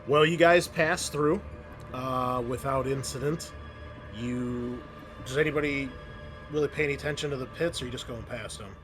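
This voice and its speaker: A man running a tabletop roleplaying game as game master, narrating scenes haltingly with pauses. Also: medium pitch, music in the background.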